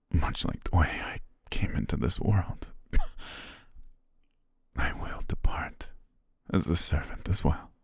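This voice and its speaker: Guy doing a dying loyal butler, super low gravelly whisper, slow, fading out. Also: dry recording, clean studio sound, heavily acted. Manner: hushed, halting, with weak breathy laughs. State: fading, short of strength. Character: dying young male butler, loyal and tender. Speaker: voice actor. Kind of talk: ASMR roleplay.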